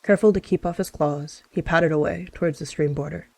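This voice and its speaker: A woman's voice: sits low, steady tone, fast talker, gravelly, darkish tone, dry recording. A woman reading scripted story narration as a storyteller.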